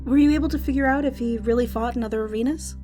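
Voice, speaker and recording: A woman playing a chatty, excitable and bloodthirsty fantasy arena announcer in an audio drama. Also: on the high side, racing, natural voice. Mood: puzzled. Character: gleeful, bloodthirsty female fantasy arena announcer, easily worried. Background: music.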